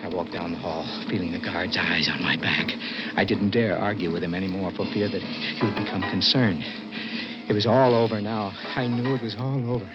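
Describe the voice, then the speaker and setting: Actor as an anxious condemned prisoner, muffled old radio. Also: male voice, mid-range voice, natural voice. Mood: dread. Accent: American. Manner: tense, stammering under pressure. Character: anxious condemned male prisoner narrating his escape. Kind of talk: 1960s radio drama acting. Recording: muffled old radio, loud music behind.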